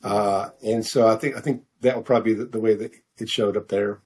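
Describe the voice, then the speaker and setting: Halting, rambling man being interviewed. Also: on the low side, steady tone, a little mellow. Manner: hesitant, halting, rambling delivery. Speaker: novelist guest being interviewed. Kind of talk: conversational interview answers.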